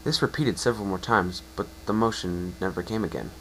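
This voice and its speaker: A man's voice: sits low, a little hiss or hum, poor audio. A man narrating a fan story as an amateur reader, often stumbling and correcting himself. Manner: halting, self-correcting delivery.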